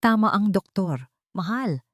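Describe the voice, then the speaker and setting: A woman's voice: medium pitch, animated, unhurried, no echo. Narrator playing a cold wife, woman, Filipino accent, controlling, cool admonishing manner, audio drama, dry sound. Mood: cold reassurance.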